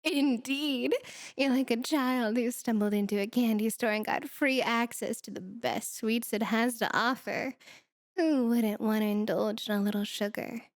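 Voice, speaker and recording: Woman voicing a high-pitched, teasing succubus. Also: fairly even intonation, unhurried, no echo, studio quality. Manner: coy, teasing delivery. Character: sultry, mischievous female succubus demon, playful and taunting. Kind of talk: flirty ASMR roleplay acting.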